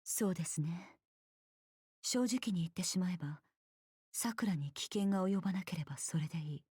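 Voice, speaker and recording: A woman voicing a cool, detached warrior servant in a Japanese anime-style game, calm and breathy. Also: fairly low pitch, quick pace, dry recording, studio quality. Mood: coolly detached. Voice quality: breathy, whispery.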